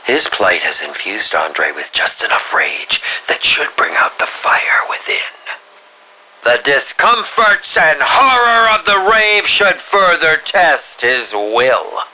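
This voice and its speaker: Theatrical, sinister villain, swooping pitch. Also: male voice, wildly swooping pitch, pushed and bright, a little hiss or hum, heavily acted, poor audio. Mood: gloating menace. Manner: melodramatic, drawn-out delivery with breathy whispered drops. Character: theatrical, sinister mad male film director villain, grieving and menacing. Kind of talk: scripted game villain monologue.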